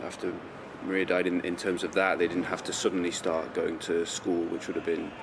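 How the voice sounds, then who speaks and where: A man's voice: sits low, level tone, fairly quick, creaky, noisy background. A middle-aged man gives reflective, hesitant interview answers, repeating words as he searches for phrasing. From Chester, north-west England. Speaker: interview guest.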